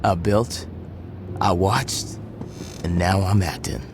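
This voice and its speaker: An actor playing a hot-headed, ambitious young gangster with an American accent, mouthy and aggressive, in a game cutscene. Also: male voice, sits low, slow pace, background noise. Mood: cold, threatening. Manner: aggressive, mouthy street talk. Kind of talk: video game cutscene acting.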